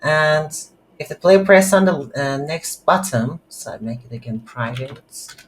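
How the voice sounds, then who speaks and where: Slow, halting coding tutorial instructor. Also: medium pitch, lively intonation. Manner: halting, thinking aloud. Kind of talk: unscripted screen-recorded coding tutorial. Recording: light background noise.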